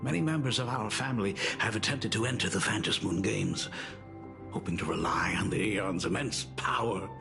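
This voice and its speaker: An actor playing a gentle, sorrowful middle-aged artist father in a video game, speaking gravely and tenderly with halting phrases. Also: lowish voice. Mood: solemn. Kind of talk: video game character acting.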